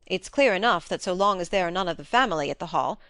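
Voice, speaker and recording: A woman voicing a blunt, plain-spoken young gentleman in a storybook, with an informal manner. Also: lowish voice, animated, quick pace, no echo, studio quality.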